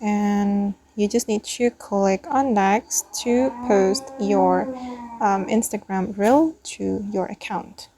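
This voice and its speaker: Creaky-voiced woman giving a tutorial. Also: mid-range voice, slow pace, dark tone, slight background noise. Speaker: tutorial host. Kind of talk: step-by-step screen tutorial narration.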